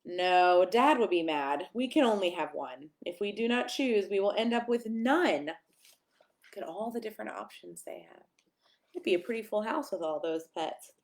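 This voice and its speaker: Animated woman reading a children's story aloud. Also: medium pitch. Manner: expressive, sing-song reading with emphatic pauses. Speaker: pastor reading a picture book aloud.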